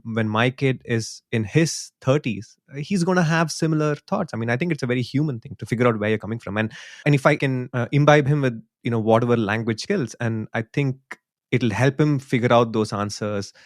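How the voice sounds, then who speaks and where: A man's voice: mid-range voice, fairly quick, no echo, clean studio sound. A man from India in a casual podcast chat, speaking earnestly and thinking aloud. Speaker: podcast co-host. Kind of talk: casual conversation.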